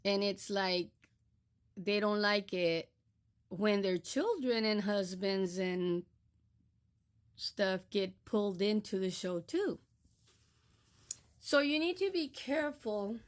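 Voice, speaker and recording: Slow, firm older woman from Texas. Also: medium pitch. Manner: firm, admonishing, deliberate. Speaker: social media creator. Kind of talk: direct-to-camera monologue.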